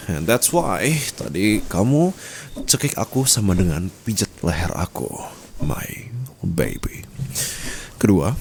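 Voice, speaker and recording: A man's voice: deep voice, darkish tone, a little hiss or hum. A man playing a dominant, teasing rich husband in an intimate ASMR roleplay, taunting and possessive, mixing Indonesian with English. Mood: commanding, instructive.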